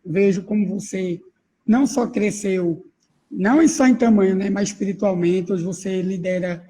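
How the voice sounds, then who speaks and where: A man's voice: sits high, normal speed, dark tone. A middle-aged man hosting a church livestream, affirming his guest with frequent "amém" and "verdade" in casual talk. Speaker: host pastor.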